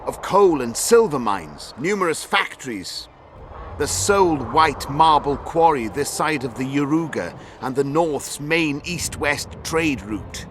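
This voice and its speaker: An actor playing an obsequious, greedy middle-aged count in a video game, speaking in a formal, deferential way. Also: male voice, on the high side, expressive, measured pace, a little hiss or hum. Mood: pompous. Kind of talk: dramatic video game acting.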